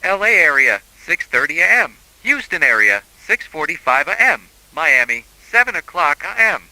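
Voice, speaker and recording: Formal guy doing emergency alert announcements, highish really sharp bright voice, solemn scripted broadcast. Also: very animated, moderate pace, no room sound, low-quality recording.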